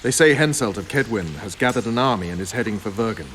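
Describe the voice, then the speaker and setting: An actor playing a gruff, cynical military commander in a video game, blunt and sardonic. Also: male voice, on the low side, lively intonation, quick pace, a bit bright, slight background noise. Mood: grim, wry. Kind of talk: video game cutscene acting. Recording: faint rain and ambience behind.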